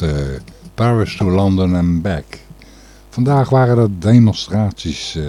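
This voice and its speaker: A man hosting a radio show, talking off the cuff about the news in a halting, opinionated way. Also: low voice, mellow. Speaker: radio host. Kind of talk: unscripted radio talk on current news.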